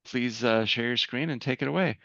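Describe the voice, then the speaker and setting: A man's voice: mid-range voice, brisk, vocal fry, bright, forceful tone, no echo, muffled recording. A man hosting an online meetup, introducing speakers and making announcements in a casual manner with many fillers. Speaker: meetup host. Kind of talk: event announcements. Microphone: video call.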